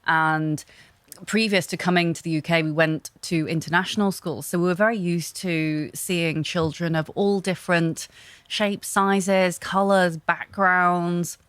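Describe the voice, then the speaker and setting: A young woman talking as an interview guest, thoughtful and relaxed, often pausing with fillers and restarting. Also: on the low side, smooth, no room sound. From Hong Kong. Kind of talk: casual interview talk.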